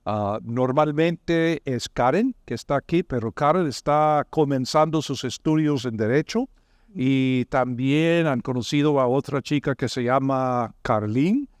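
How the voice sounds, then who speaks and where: A man hosting a podcast, asking his guest questions and explaining the topic in a measured, friendly way. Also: medium pitch, no room sound, clean studio sound. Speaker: podcast host.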